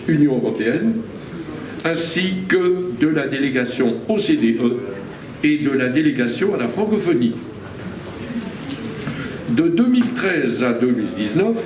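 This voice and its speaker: Formal man introducing a speaker from afar. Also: mid-range voice. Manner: measured, formal, reading out a list. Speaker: conference president introducing the speaker. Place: large conference hall. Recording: distant mic in an echoey hall with audible ambience.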